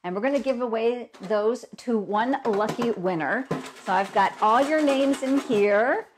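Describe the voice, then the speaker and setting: A woman's voice: on the high side, a little slow, noisy background. A woman with a cold hosts a casual live stream, chatting along, greeting viewers by name, her voice a little froggy. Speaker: host of a live stamping show.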